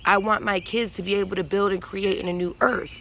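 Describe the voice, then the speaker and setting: A woman's voice: medium pitch, steady tone, a little fast, gravelly, fairly bright tone, a little hiss or hum. A woman giving an emphatic, profane spiritual monologue with many restarts, rambling and preachy. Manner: intense and preachy. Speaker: vlogger.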